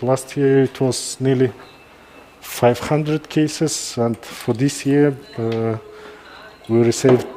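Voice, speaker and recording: A middle-aged man with a Kazakh accent speaks slowly in a mellow, soft-edged voice. He gives informal commentary in halting phrases, often pausing mid-sentence. Faint background noise sits behind him. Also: medium pitch, poor audio. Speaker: neurosurgeon presenting a live case. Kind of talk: informal live-stream commentary.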